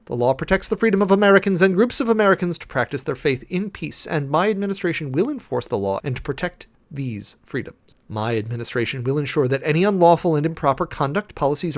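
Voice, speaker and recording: A man giving a dramatic, deliberate read-aloud of a formal document, with theatrical emphasis and weighty pauses. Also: fairly high pitch, animated. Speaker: preacher, host of a dramatic reading series.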